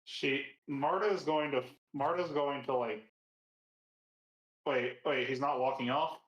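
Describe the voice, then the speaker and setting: A man's voice: medium pitch, steady tone, slow pace, fairly bright tone, highs cut off. Man in a roomy, echoing space. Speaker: tabletop game player. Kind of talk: casual in-game roleplay talk.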